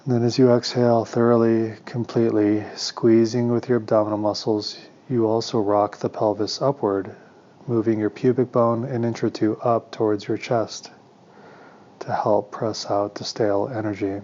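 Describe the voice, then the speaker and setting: Low, dark-voiced man guiding meditation. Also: muffled recording. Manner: calm, deliberate, soothing instruction with pauses. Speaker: meditation teacher. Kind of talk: guided meditation narration.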